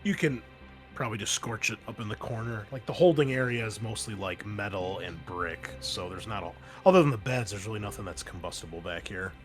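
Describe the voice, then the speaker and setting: A man's voice: on the low side, fairly quick, faint music behind. A man narrating a tabletop roleplaying game as game master in a casual, loose way. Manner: casual, profane.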